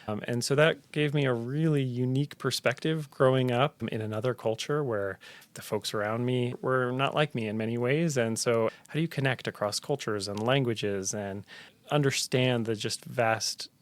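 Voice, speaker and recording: A man's voice: mid-range voice, moderate pace. A middle-aged man from Tanzania, a health center director, answering interview questions in an earnest, reflective storytelling manner. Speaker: health center executive director, podcast guest.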